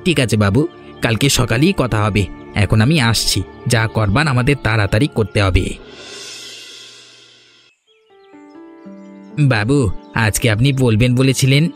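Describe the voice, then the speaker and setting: A man's voice: mid-range voice, normal speed, faint music behind, close to an everyday voice. Man playing sly cartoon henchman. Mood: aggrieved. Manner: deferential to his boss, needling. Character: sly, obsequious henchman of a village landlord. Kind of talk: Bengali cartoon voice acting.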